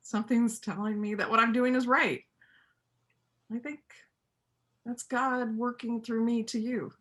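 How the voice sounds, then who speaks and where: A woman's voice: on the high side, steady tone, unhurried, fairly bright tone. A woman playing a kind, plain-spoken widow who works with farm animals, in a reader's theater play. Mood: reflective, earnest. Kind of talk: stage reader's theater dialogue.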